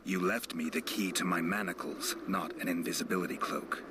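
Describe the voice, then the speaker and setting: Actor as a very low-voiced deadpan monster hunter. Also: male voice, brisk, background noise, natural voice. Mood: dry, composed. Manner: deadpan, terse. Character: stoic, dry-witted male monster hunter in a fantasy game. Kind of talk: dramatic game dialogue.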